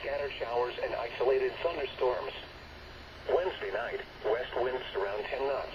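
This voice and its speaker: Male weather announcer, mid pitch, flat clipped automated delivery, dull small radio speaker, talk over it. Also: moderate pace, background noise. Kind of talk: scripted marine weather bulletin.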